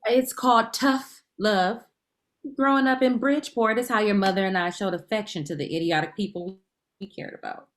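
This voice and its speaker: A woman's voice: medium pitch, normal speed, close to an everyday voice. A woman playing a sassy, blunt, man-hungry best friend in a comedy table read, brassy and emphatic. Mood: teasing, blunt.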